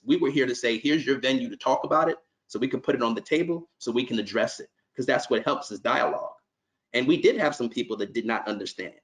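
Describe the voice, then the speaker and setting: A man's voice: mid-range voice, low-quality recording. A middle-aged man from New York, a nonprofit executive, gives earnest, conversational remarks to a conference call and often restarts his sentences.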